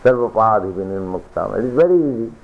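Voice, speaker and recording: A man giving a philosophical sermon as a spiritual teacher, lecturing deliberately, repeating phrases and reciting scripture. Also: on the low side, lively intonation, vocal fry. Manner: deliberate, repetitive lecturing with chanted scripture quotes. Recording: tinny lo-fi archival recording.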